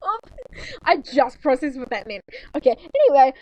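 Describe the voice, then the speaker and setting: Teen female co-host, very high voice, lively swooping pitch, rambling casual banter with sarcastic asides. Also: normal speed, no room sound, dull audio. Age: teens. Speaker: co-host of a fanfiction reading. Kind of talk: casual chat with mocking read-aloud commentary.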